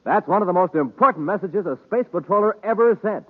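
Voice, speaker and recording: A man's voice: sits high, animated, fast. A man announcing and selling on 1950s radio with folksy, enthusiastic sales patter. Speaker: announcer and sponsor pitchman. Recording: tinny 1950s radio recording.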